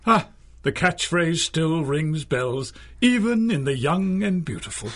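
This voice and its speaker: An actor playing an urbane old retired magician and crime fighter in a radio drama, with a theatrical, formal, dryly witty delivery. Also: male voice, fairly high pitch, expressive, unhurried, fairly bright tone. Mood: wry, grandiose. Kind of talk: 1930s-style radio drama acting.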